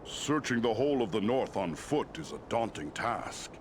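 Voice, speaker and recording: An actor playing a stately, wise lord of giant eagles in a fantasy game, speaking with regal formality. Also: male voice, mid-range voice, animated, moderate pace, noisy background, close to an everyday voice. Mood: grave, measured. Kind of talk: fantasy game cutscene acting.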